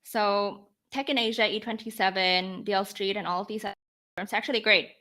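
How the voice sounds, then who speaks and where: A woman's voice: mid-range voice, fairly even intonation, fairly quick, pushed and bright. A woman of about twenty from the Philippines, explaining her thinking conversationally as a startup founder in a podcast interview. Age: around 20. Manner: explanatory, talks through rhetorical questions and examples.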